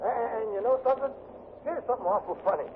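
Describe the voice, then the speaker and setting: An actor playing a modest, distraught young bosun's mate, talking in halting, colloquial phrases in a 1940s radio drama. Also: male voice, very high voice. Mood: modest, hesitant. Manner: halting, colloquial, self-effacing. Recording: muffled, slightly noisy 1940s radio recording.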